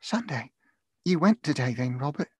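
A man voicing an affectionate, gentle working-class wife and mother in a storybook, with a soft, caring manner. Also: a little high, lively intonation, normal speed, breathy edge, dry recording, voice pushed up. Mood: gently surprised. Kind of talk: storybook character voice in audiobook.

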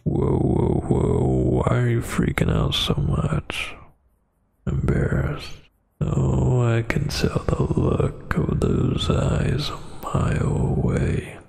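A man murmurs very slowly in an intimate ASMR roleplay as a dominant, teasing roommate. His voice is breathy and half-whispered, thick with gravelly fry. The sound is close and dry. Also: mid-range voice, steady tone, a little mellow, no room sound. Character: huge, dominant, flirtatious male roommate. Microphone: close microphone.

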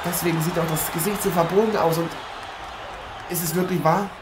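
A man playing a boxer who co-commentates in dramatic dismay, rambling and halting, in improvised parody live commentary. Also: fairly high pitch, fairly even intonation, crowd noise, natural voice. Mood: explaining. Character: American boxer Matthew Ironhead co-commentating, dramatically aghast. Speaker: boxing co-commentator. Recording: noisy amateur recording with ambience.

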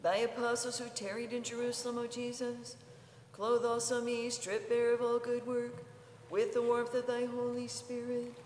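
A woman chants a religious prayer as a liturgical reader, in a solemn, measured, intoned delivery. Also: a little high, flat delivery, darkish tone, slight background noise. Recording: echoey hall with long reverb.

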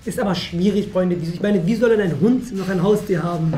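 High-voiced young man bantering, roomy sound. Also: a little fast, warm tone. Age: young adult. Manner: teasing. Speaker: YouTube host. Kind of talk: playful casual banter, answering viewer questions. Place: furnished indoor room, seated at a table. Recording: roomy echo with music underneath.

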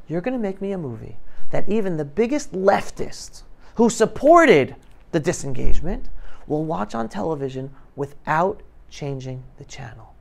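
Creaky-voiced middle-aged man telling stories. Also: on the high side, a little mellow. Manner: emphatic, colloquial, quoting conversations dramatically. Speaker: guest speaker, filmmaker telling his story. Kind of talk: animated personal storytelling talk to students. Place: school classroom, indoors. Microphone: clip-on lapel mic.

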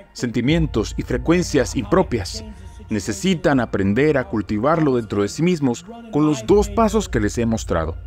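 A man giving a dubbed motivational speech in an earnest, emphatic tone, recorded as a studio voice-over. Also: medium pitch. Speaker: motivational speaker. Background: soft background music.

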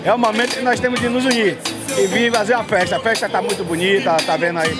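A cheerful middle-aged man chats quickly in a fairly high voice into a handheld mic at a carnival at night. Loud music plays over everything. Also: fairly even intonation. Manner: cheerful, chatty. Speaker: carnival guest. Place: outdoor carnival box at night. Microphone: handheld mic held by interviewer.

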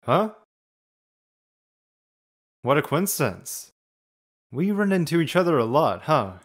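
A man's voice: on the high side, sing-song, slow, full character voice. A young man acting a cool, blunt, nonchalant teenage boy in a manga fandub, with a laid-back drawl. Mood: casual.

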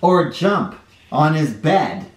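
Children's read-aloud host: male, middle-aged, expressive and slow-paced, drawing out phrases, recorded in a roomy space. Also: a little high. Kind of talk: animated storybook read-aloud for kids. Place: small bright living room or playroom, indoors. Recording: roomy indoor echo.

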